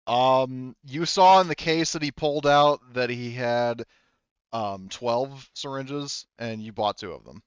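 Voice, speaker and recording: A man with a smooth, clear voice runs a tabletop game as game master in casual narration. The recording is dry and a little dull. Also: medium pitch, measured pace, no echo.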